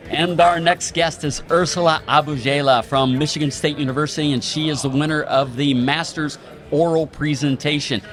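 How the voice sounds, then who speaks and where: A man's voice: on the high side, fairly even intonation, normal speed, fairly bright tone, faint music behind. A man hosting a podcast interview at a conference, introducing guests and asking brief questions. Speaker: podcast host. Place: convention hall.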